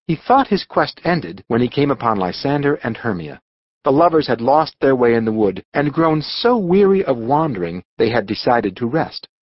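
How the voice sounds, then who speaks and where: A man narrating a scripted storybook audiobook with dramatic, expressive delivery. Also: medium pitch, normal speed, no room sound, muffled recording.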